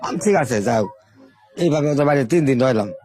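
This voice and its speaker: An older man from Bangladesh tells his own life story in a loose, rambling way, circling back and repeating himself as he goes. His voice sits at an ordinary middle pitch. Soft music plays faintly underneath. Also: animated. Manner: rambling, repetitive, halting storytelling. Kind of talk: informal spoken life story.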